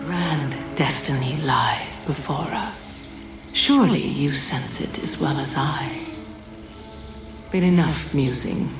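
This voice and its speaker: Game villainess: silky dark priestess, fervent and scheming, slow portentous delivery, loud score behind. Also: female voice, deep voice. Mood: sinister, silky. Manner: theatrical, portentous, hushed insinuation. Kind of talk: video game cinematic voice acting. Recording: echoey, loud music behind.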